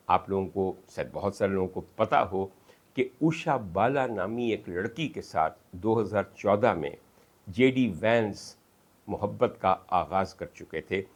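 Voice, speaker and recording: A man with a Pakistani accent delivering political commentary as an emphatic, oratorical monologue, with dramatic pauses and piled-up lists. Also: on the low side. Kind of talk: rhetorical monologue of political analysis.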